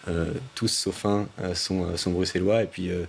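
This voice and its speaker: A man's voice: deep voice, normal speed, vocal fry, breathy edge. A man with a French accent, a start-up founder explaining his service with many hesitations. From France. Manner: relaxed, explanatory, many fillers.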